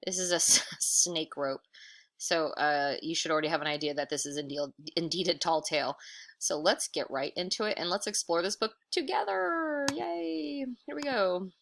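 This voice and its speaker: A woman reads a children's book aloud in a bright, slightly low voice. She is expressive and encouraging, like a teacher. She often steps out of the story to explain a word or picture in a chatty way. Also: normal speed, vocal fry. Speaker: teacher reading aloud to pupils.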